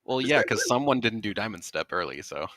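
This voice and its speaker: Lively bright-voiced teasing runner. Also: medium pitch, fairly quick. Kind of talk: casual post-race chat.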